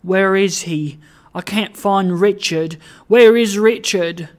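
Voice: mid-range voice, flat delivery, drawn-out words, darkish tone. A reader voicing a confused, grieving, lonely man in a storybook, moaning plaintively and insistently as he searches. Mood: plaintive, desperate. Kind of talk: dramatised audiobook of a classic story.

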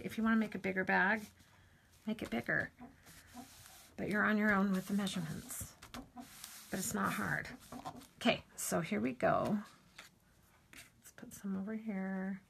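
A middle-aged woman with a hoarse morning voice, chatting casually while demonstrating a craft project. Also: mid-range voice, slight background noise. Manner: chatty, rambling asides while working. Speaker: crafting tutorial host.

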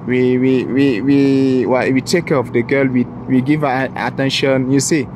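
A man's voice: mid-range voice, fairly even intonation, moderate pace. A man in his thirties from Ivory Coast, a French speaker with a West African accent, answering casually and earnestly with repeated phrases. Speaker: interview guest. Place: outdoors by a parked car in town. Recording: noisy outdoor street recording.

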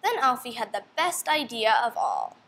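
A woman's voice: fairly high pitch, huge pitch swings, moderate pace, a bit bright, slight background noise. A young woman reading a storybook aloud to children, expressively and with questions to her listeners. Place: indoor room.

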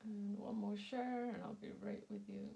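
A woman's voice: mid-range voice, fairly even intonation, vocal fry, dark tone, breathy edge, lo-fi sound. A woman hosting a live craft demonstration, chatty and full of ums, with a slightly sore throat. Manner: slow, chatty, many ums, greets viewers by name. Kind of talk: casual live craft demo. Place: indoor craft table.

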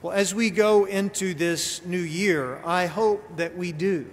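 Male pastor, middle-aged, high-pitched voice, storytelling sermon delivery from a pulpit in a reverberant church with noticeable noise. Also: measured pace. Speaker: pastor preaching. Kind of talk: sermon, conversational storytelling with acted-out dialogue. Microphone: pulpit gooseneck microphone. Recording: reverberant church hall, clear background noise.

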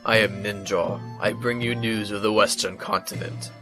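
Man as low-voiced shadowy ninja warrior. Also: steady tone, moderate pace, close to an everyday voice. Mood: cool, bold. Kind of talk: audio drama acting. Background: music.

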